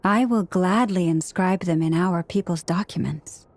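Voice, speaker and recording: A woman's voice: lowish voice, unhurried, a little mellow. An actress playing a composed, earnest scholarly woman in a video game, speaking formally and articulately. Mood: earnest, persuasive. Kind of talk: video game character acting.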